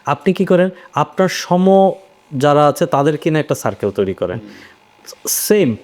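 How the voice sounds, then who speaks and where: A man's voice: a little high, big pitch swings, moderate pace, darkish tone. A man in a conversational literary discussion, explaining things by posing questions and then answering them. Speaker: voice actor on a discussion panel.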